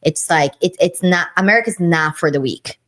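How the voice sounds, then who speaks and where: A woman co-hosting a podcast, with a Brazilian Portuguese accent. Her voice sits fairly low for a woman, but she speaks with earnest emphasis and often leaps higher on the words she stresses. The talk is relaxed and conversational. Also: normal speed. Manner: earnest and emphatic, swinging high in pitch. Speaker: co-host. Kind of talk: casual conversation.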